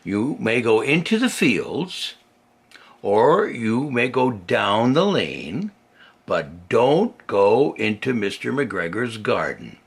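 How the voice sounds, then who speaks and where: An older man voicing an old mother rabbit in a storybook, gently stern and cautioning, with slow, deliberate words in a husky voice. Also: mid-range voice, big pitch swings, exaggerated voice.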